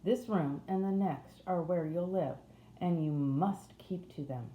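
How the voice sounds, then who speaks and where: A woman's voice: fairly low pitch, unhurried, muffled recording, close to an everyday voice. A woman voicing a stern, bossy housekeeper in a storybook reading, firm and curt. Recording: roomy echo, faint noise.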